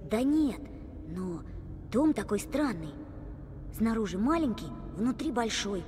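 A woman plays a polite young boy in a cartoon film, wide-eyed and timid. The voice is fairly high and very animated in pitch. It echoes in a long reverb over clear music. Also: takes it slowly. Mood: uneasy, polite. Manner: earnest, wide-eyed, hesitant.

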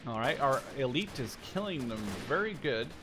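A man in his twenties giving casual let's play commentary, thinking out loud in short phrases as if talking to himself. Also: medium pitch, animated, moderate pace, noisy background. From Canada or Netherlands. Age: 20s. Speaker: gaming YouTuber playing and commentating.

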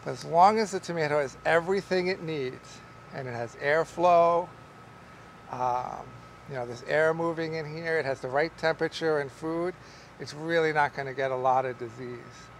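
A middle-aged man, a market farmer, explaining how he grows tomatoes in a relaxed, rambling way with many fillers, recorded in a large greenhouse by a camera some distance away. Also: on the high side, normal speed, slight background noise. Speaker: market farmer teaching growing. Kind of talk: casual on-camera farming lesson. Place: large greenhouse among tall tomato plants. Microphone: camera at a distance, wide shot.

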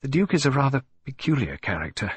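A man's voice: big pitch swings, quick pace, slightly rough, dry recording. A man voicing a frightened young society lady. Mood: distressed. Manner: hesitant, pleading, pitched up. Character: frightened young upper-class English lady. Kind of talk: audiobook reading.

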